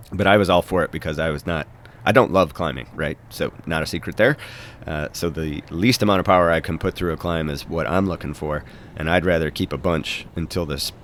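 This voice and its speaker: A man's voice: low voice, fairly bright tone. A man with a head cold, stuffed up, chatting casually and rambling a bit as he narrates a recap of his own bike race. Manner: chatty, rambling, self-deprecating.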